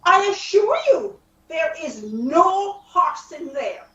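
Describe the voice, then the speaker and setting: A woman's voice: very high-pitched, big pitch swings, takes it slowly, fairly bright tone, highs cut off, exaggerated voice. A woman acting a flustered, lovesick horse thief in an amateur radio play, dramatic and protesting. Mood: nervous, evasive. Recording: roomy, distant mic with hiss.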